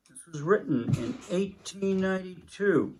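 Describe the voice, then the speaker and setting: An older man in his seventies reading a story aloud in a halting manner, pausing between phrases. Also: high voice, expressive, background noise. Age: older, about 70s. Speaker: story reader. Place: indoors, book-lined room at a table.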